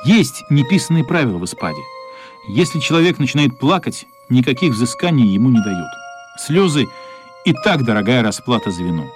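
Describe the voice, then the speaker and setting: A man's voice: medium pitch, sing-song, fairly quick, soft background music. A man narrating a radio play as dramatic scripted storytelling, expressively voicing the characters' thoughts.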